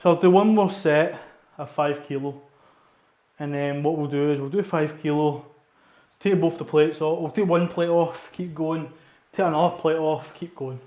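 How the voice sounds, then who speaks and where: Male Scottish fitness vlogger, slightly high voice, bunged up with a cold, informal and a bit sweary, roomy echoing sound. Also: normal speed, dark tone. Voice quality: congested, sniffly. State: getting over a cold. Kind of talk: casual workout vlog chat and rep counting.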